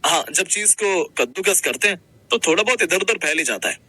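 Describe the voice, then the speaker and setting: A man's voice: high voice, animated, brisk, pushed and bright, husky, heavily acted. A man playing a friendly young restaurant manager in a Hindi cartoon story, explaining things in a casual, businesslike way.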